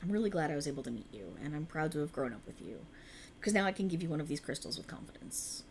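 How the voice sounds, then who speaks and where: Girl doing a young alien pal in an asmr rp, low voice that swoops a lot, soft and close, teasing, swears. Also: fast talker, vocal fry, a little hiss or hum, full character voice. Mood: earnest. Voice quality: soft, intimate and lively, with blunt teasing and swearing. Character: young adult alien woman hiding as a human friend, earnest and teasing. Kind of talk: ASMR roleplay.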